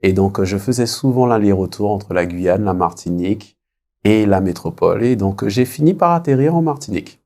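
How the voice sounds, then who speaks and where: A man in his thirties from French Guiana, answering as an interview guest in a reflective, conversational way with frequent pauses. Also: on the low side, animated, mellow. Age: 30s. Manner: hesitant, reflective, pausing often.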